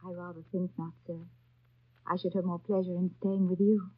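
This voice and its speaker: An actress playing an earnest, modest young governess, speaking and narrating in a demure, restrained 1930s radio drama style. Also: female voice, lowish voice, little pitch movement, fairly quick, husky. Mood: tender, devoted. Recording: dull 1930s radio recording, faint music behind.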